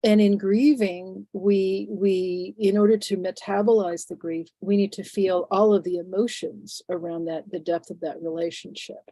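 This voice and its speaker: A woman in her sixties, phlegmy and sniffly from a head cold, speaks as an interview guest in a thoughtful, halting way full of fillers and restarts. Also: mid-range voice, fairly even intonation, dark tone, dry recording. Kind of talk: reflective conversational interview.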